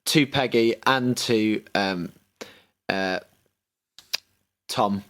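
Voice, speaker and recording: A man's voice: fairly low pitch, drawn-out words, a bit bright. A man co-hosting a podcast from home over an internet call, describing film moments hesitantly with fillers. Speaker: podcast co-host. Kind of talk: casual chat about a film.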